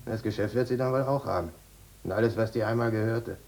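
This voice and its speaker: A man's voice: on the low side, fast, darkish tone, close to an everyday voice. A man playing a cynical, streetwise prison cellmate in a 1950s German radio drama, blunt and mocking in colloquial talk. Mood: scornful, lecturing.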